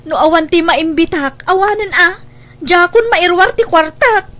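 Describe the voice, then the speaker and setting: Woman acting a high-voiced, pushy village schemer. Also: measured pace, a bit bright. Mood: worried, pleading. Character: pushy, money-anxious village woman recruiting relatives into a scheme. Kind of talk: scripted audio comedy drama dialogue.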